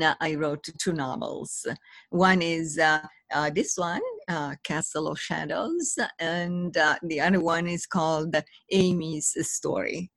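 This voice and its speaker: Slow, raspy older woman. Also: lowish voice, no room sound. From Italy. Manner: halting, thoughtful, pauses often mid-sentence. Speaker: guest, novelist and literature professor. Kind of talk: casual interview answers.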